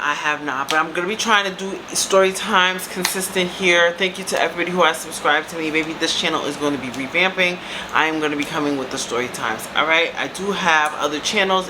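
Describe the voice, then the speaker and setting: A woman in her thirties with a deep voice, telling a casual storytime to her viewers and calling them baby and girl. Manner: chatty, calls viewers baby and girl, lively asides. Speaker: storytime vlogger. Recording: steady air-conditioner hum behind.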